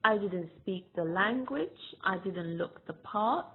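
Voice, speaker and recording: A woman from Ghana giving a reflective, measured personal testimony about her own past. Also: medium pitch, lively intonation. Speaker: storyteller sharing personal experience. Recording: tinny phone-like line.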